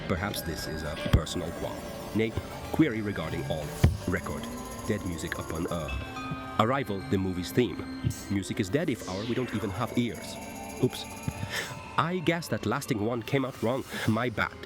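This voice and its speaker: A man's voice: on the low side, animated, normal speed, over loud music. A man delivering a theatrical, satirical radio-play monologue in a wordy, mock-grand manner.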